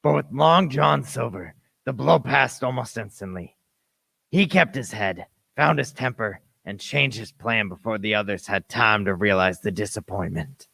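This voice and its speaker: A man's voice: fairly low pitch, normal speed, vocal fry, sharp tone, dry recording, exaggerated voice. A man playing an earnest adventurer who narrates his tale in a radio play with dramatic storytelling. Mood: dramatic, tense. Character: earnest grown Jim Hawkins recalling his boyhood adventure.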